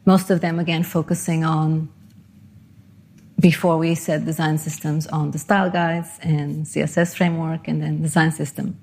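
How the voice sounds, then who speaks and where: A woman's voice: low-pitched, level tone, normal speed, darkish tone. A middle-aged woman from Portugal and Panama giving a conversational, self-deprecating conference talk. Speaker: conference speaker.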